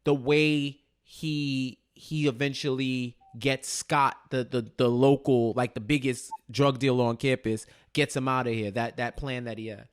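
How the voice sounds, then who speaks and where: A man's voice: mid-range voice, fairly even intonation, a little slow, few pauses, clean tone. A man co-hosting a casual TV recap discussion, speaking in a colloquial, slangy way and repeating phrases for stress. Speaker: podcast co-host.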